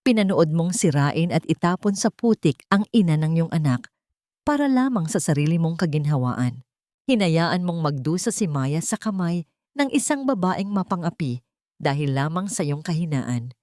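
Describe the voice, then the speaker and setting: Lady doing a cold wronged wife, firm and steady, dry close sound. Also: sits low, moderate pace, no echo.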